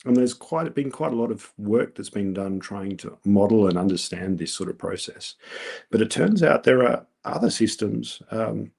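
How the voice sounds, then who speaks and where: A man's voice: on the low side, animated, moderate pace, gravelly, darkish tone, slightly rough. A middle-aged man with an Australian accent, giving a scientific presentation over a video call, explaining steadily with many ums and uhs. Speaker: researcher giving a colloquium talk.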